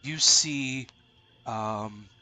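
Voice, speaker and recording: A man narrating as dungeon master of a tabletop game, halting with many fillers, congested with a lingering cough. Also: medium pitch, sharp tone. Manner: halting, many ums and uhs.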